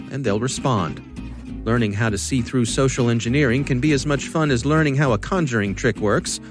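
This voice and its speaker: A man hosting a podcast in a conversational style. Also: mid-range voice. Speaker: podcast co-host.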